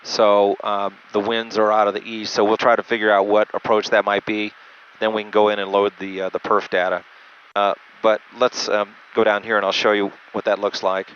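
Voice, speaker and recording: Halting man pilot, muffled cockpit audio. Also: on the low side, flat delivery. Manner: halting, methodical explaining. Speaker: jet pilot. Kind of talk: unscripted instructional walkthrough. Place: small jet cockpit in flight. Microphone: aviation headset through intercom. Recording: muffled band-limited cockpit headset audio, engine noise.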